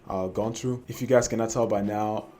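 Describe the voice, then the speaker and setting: A man's voice: on the low side, steady tone, brisk, warm tone, faint music behind. A young man from Cameroon telling his personal trading story to camera, hesitant and full of fillers and restarts. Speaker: trading vlogger.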